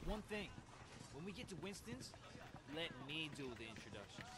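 A man's voice: a little high, sing-song, normal speed. A man voicing a brash, chatty young small-time gangster in a video game. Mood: confiding. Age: young adult. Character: excitable cocky young small-time Hong Kong gangster. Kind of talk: video game character dialogue.

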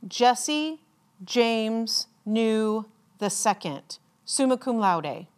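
A woman reads out names as a commencement announcer, in a formal ceremonial style with measured, deliberate enunciation and pauses. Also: a little high, expressive.

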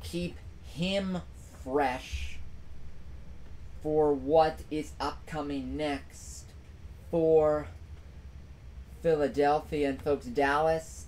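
A young man talks into a desk mic in a small room at home, hosting his own sports stream. He goes through the scores at an unhurried pace, leaning hard on repeated phrases. A faint hiss sits under his voice. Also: fairly high pitch, darkish tone, poor audio. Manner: emphatic, repeats phrases for effect. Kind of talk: solo sports recap stream.

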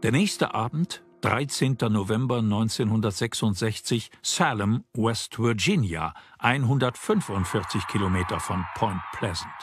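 A man's voice: fairly low pitch, lively intonation, moderate pace, few pauses. Documentary narrator, a man, over music. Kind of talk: scripted narration.

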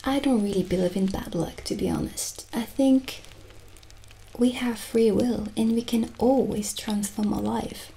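A middle-aged woman playing a gentle, chatty witch shopkeeper in a soft-spoken, often whispered ASMR roleplay, speaking in a hushed, unhurried way. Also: on the high side, creaky, a little hiss or hum, poor audio. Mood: calm, chatty. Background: original music.

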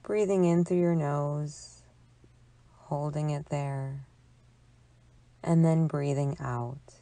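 Low-pitched, very slow woman guiding relaxation. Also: no echo. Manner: calm, soothing, measured delivery with long pauses. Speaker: psychologist leading guided imagery. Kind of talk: guided relaxation meditation narration.

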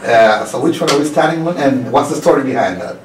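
A man's voice: mid-range voice, fast, crowd noise. East African male host, roomy sound. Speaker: radio host, interviewer. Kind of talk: casual radio interview. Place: small radio studio. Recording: roomy, distant, faint room noise.

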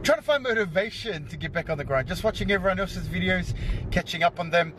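A man's voice: sits high, animated, fast, background noise. A man in his thirties from Samoa, chatting casually to a handheld camera in an upbeat way and tagging his lines with "yo". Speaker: vlogger and salon owner. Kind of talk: casual vlog chat to camera. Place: parked car, then a hair salon. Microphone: handheld camera held close.

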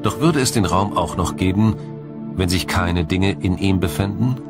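A man reading scripted science documentary narration in a measured, explanatory way. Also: low voice. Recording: music behind the narration. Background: background music.

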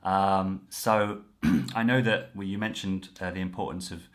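A man in his thirties hosts a chat over a video call. His voice sits low, and he speaks in a friendly way, restarting his sentences often. The sound is a plain home video-call recording. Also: fairly even intonation, unhurried. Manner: friendly, with frequent fillers and restarts. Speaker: host, French coach. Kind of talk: casual interview chat. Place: room at home, indoors.

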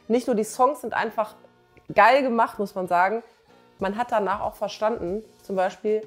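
A woman in her forties chatting casually and emphatically to camera, full of enthusiasm, in colloquial language. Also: medium pitch. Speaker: doctor and author presenting her comic. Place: small living room on a sofa, indoors. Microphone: clip-on lapel mic.

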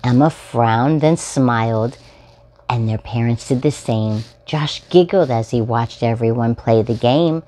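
A woman's voice: extremely low pitch, a little slow, a little hiss or hum. A woman in her forties reading a children's story aloud expressively, as a storytime host. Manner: expressive, addressing young children. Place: small furnished room at home, indoors.

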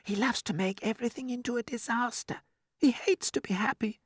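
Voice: very high-pitched, expressive, normal speed, no echo, clean studio sound, pitched above the performer's own voice. A performer reading a storybook, voicing a kindly, gossipy female ladybird in a genteel, confiding way. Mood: gently confiding. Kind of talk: storybook character voice.

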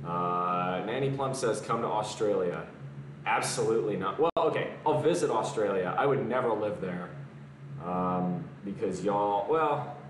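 A man's voice: on the low side, slight background noise. A young man chatting casually on a live stream from his home gym, answering comments between exercises. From American South. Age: young adult. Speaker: fitness streamer. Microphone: camera mic at a distance. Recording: roomy garage echo, distant mic.

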